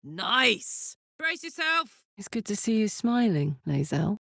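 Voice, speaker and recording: A woman's voice: medium pitch, big pitch swings, slow, creaky, a bit bright, no room sound, heavily acted. Brash, big-hearted warrior woman, dry close sound. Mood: excited, bold, then tender, caring. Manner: informal, blunt, exclamatory, swings from playful to tender. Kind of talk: video game character voice lines.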